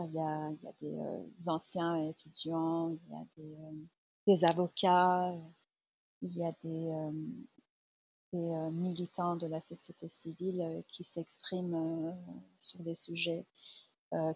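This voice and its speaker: Slow, husky woman with an Iranian accent. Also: lowish voice, darkish tone, low-quality recording. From Iran. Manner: hesitant, searching for words. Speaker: journalist being interviewed. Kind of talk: reflective spoken interview testimony.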